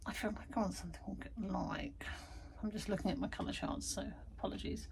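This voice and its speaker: A woman's voice: mid-range voice, fairly even intonation, a little fast, vocal fry, darkish tone, slight background noise, poor audio. A woman vlogging from her garden shed studio, chatty and self-deprecating, tired after busy weeks. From United Kingdom. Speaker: vlogger and art workshop tutor. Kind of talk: casual chatty vlog.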